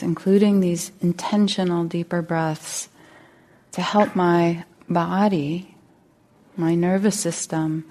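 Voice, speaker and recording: Slow, mellow woman guiding meditation. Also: fairly low pitch. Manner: gentle, unhurried, with long pauses. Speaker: meditation teacher. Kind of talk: guided meditation.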